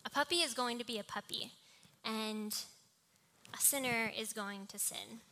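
Young female preacher, fairly high and very bright small voice, mixing scripture with personal anecdote. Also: a little slow, slight background noise. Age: young adult. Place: live talk before a congregation.

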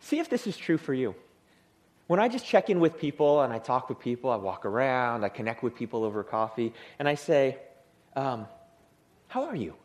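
Lively, soothing-voiced male preacher. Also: medium pitch, normal speed. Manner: lively, humorous, acts out tired people. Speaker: pastor. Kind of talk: sermon. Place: church.

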